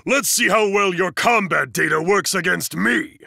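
An actor playing a towering, stern, fiercely loyal student disciplinarian in an anime fighting game, commanding, pompous and formal, with a gravelly, raspy voice. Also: male voice, high-pitched, moderate pace, sharp tone, no room sound, clean studio sound, exaggerated voice. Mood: stern, challenging.

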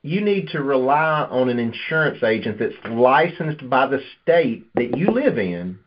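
A man's voice: mid-range voice, big pitch swings, a little slow. A man coaching sales in a conversational, persuasive and explanatory way over a conference call line. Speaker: insurance sales manager and trainer. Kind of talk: conversational sales coaching with role-play pitches. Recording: dull, lo-fi conference call audio.

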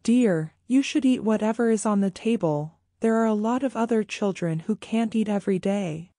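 A woman voicing a kind, patient old grandmother in a cartoon story, calm and firm. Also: medium pitch, normal speed, no echo, studio quality, close to an everyday voice. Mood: gentle. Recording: music playing behind.

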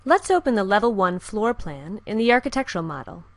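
A woman giving calm, scripted step-by-step narration for a software tutorial. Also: medium pitch, brisk, dry recording. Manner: calm, even, step-by-step instruction. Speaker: software instructor. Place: voice-over with screen recording.

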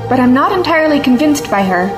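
A woman's voice: high voice, sing-song, fast. A woman playing a sceptical, outspoken companion to a time traveller in an audio drama, wry and questioning. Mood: uneasy, insistent. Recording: roomy echo, music behind.

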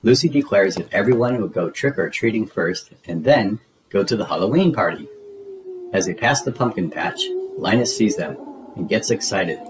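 A man narrating a children's storybook in an expressive, deliberate storytelling manner. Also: lowish voice, background noise. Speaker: read-aloud narrator. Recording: music behind the voice. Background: music.

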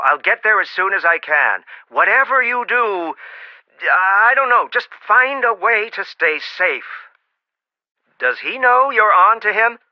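A protective, middle-aged archaeology professor: earnest, cautioning and expressive, with slightly muffled, dry audio. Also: male voice, on the high side, no room sound. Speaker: archaeologist mentor. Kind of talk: video game voice acting.

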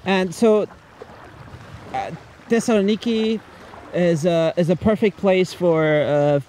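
A man's voice: high voice, slow, dark tone. A man from Denmark chatting casually as an interviewer, speaking outdoors. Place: outdoors on a sea beach, behind camera. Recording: outdoor seaside background noise.

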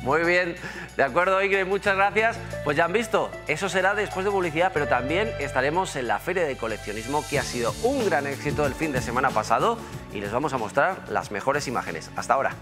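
A man's voice: a little high, animated, quick pace, over music. A young man presenting a local TV magazine show in a studio. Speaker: TV magazine host.

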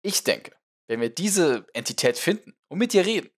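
A man's voice: a little high, sing-song, moderate pace, voice pushed up. A man voicing an exasperated, nerdy male researcher with a dry, lecturing manner in an audiobook. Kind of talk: audiobook character acting.

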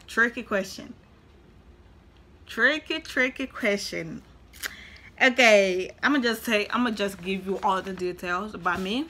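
Chatty woman vlogger, bright voice. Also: medium pitch, measured pace, long pauses. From Tanzania. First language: Swahili. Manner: chatty, animated, repeats herself for emphasis. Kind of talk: casual talk to camera. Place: small indoor room.

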